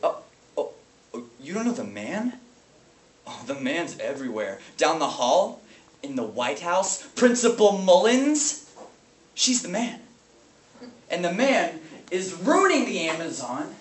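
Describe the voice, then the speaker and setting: Echoey, distant stage monologue: man plays loud, sarcastic slacker substitute teacher, bitter, wildly swinging high pitch. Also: sing-song, a little slow, pauses often. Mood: cynical ranting. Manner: sarcastic, bombastic, theatrical. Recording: echoey, noisy room from far off.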